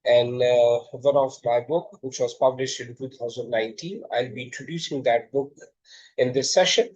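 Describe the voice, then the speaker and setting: A man's voice: mid-range voice, steady tone, moderate pace, a little mellow, highs cut off. A middle-aged man with a Pakistani accent, giving a slide-based lecture that introduces his textbook, with frequent hesitant fillers.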